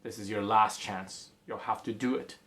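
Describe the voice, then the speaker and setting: A man's voice: lowish voice, fairly even intonation, normal speed, fairly bright tone, close to an everyday voice. A man voicing a stern, strict father in a spoken folk tale. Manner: stern, commanding.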